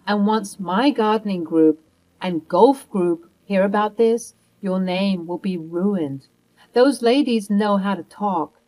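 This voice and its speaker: A woman's voice: mid-range voice, a little mellow, close to an everyday voice, rough recording. Brief: woman reading a haughty grandmother, scornful then desperate, raised voice. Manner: dismissive and sneering, later pleading. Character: bossy, snobbish older woman, a grandmother.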